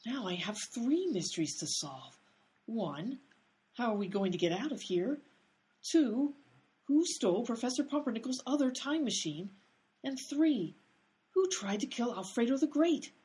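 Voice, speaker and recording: A woman playing a bossy, sharp-tongued amateur detective in a radio comedy, snappy and sarcastic. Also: on the high side, very animated, moderate pace. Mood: impatient, sarcastic.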